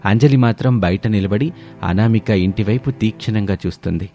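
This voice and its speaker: A man's voice: on the low side, moderate pace, mellow, soft background music. A man reading a scripted family story as a dramatic narrator.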